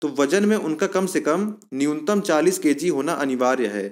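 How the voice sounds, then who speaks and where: A man's voice: on the high side, animated, moderate pace. A man giving an informal explanatory lesson as an exam coaching presenter, going step by step and repeating himself to recap.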